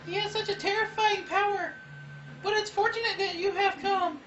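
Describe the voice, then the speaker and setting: A woman's voice: very high voice, fairly even intonation, normal speed, a bit bright. A woman voicing an earnest, pleading young princess in a crude parody dub of game dialogue. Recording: roomy, distant, dull lo-fi with game music.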